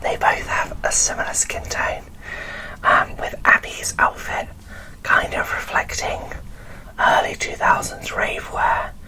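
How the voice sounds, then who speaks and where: Slow whispering woman, breathy ASMR. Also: sharp tone, low-quality recording. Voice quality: breathy whisper with little voicing. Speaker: doll collector presenting an unboxing.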